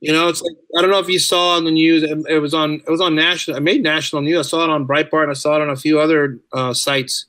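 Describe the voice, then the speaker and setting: Give this a middle-aged man with a bright, forceful voice pitched a little high. He talks like a podcast guest, informal and chatty, circling back over phrases for emphasis. The sound is close and dry. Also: level tone, quick pace, hardly any pauses, no echo. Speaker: podcast guest, teacher and writer. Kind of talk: casual conversational storytelling.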